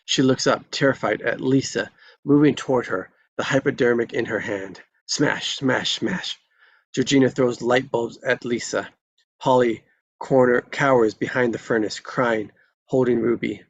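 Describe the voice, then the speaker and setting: A man's voice: mid-range voice, steady tone, measured pace, muffled recording. A man reading stage directions as scripted narration at a live table read.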